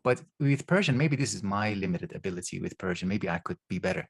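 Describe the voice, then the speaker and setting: A man interviewing in a thoughtful conversational style, reflecting in a measured, attentive way. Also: low-pitched, animated, creaky, breathy edge.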